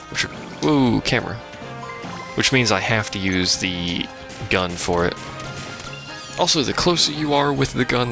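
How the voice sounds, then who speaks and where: A man with a lowish, very creaky voice talks casually through a video game. He rambles along with dry, sarcastic asides while game music plays clearly behind him. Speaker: let's play host. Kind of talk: casual gameplay commentary. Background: game music and sounds.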